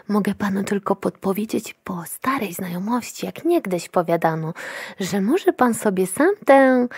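A woman's voice: medium pitch, a little mellow, dry recording, clean studio sound. A woman acting in a dystopian radio play as an officious, curt institute clerk with a formal, admonishing manner. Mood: confiding, formal.

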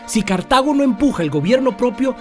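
High-voiced, resolute colonial statesman. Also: lively intonation, brisk, faint music behind. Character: resolute male colonial town leader, concerned and principled. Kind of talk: radio drama acting.

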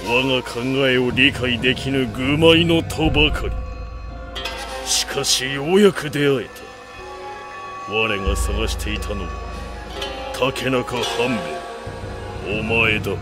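An actor playing a proud, iron-willed warlord in an audio drama, speaking in solemn, imperious, archaic grand speech. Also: male voice, medium pitch, slow pace, long pauses, over music, lightly acted. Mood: grand, commanding. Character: proud, iron-willed male warlord bent on remaking the nation. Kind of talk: Japanese audio drama acting.

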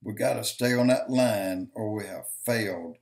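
An older man giving slow, emphatic preaching-style commentary to a webcam in his home office, counting off points and repeating lines for stress. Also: on the low side, gravelly, a little mellow. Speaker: video commentator.

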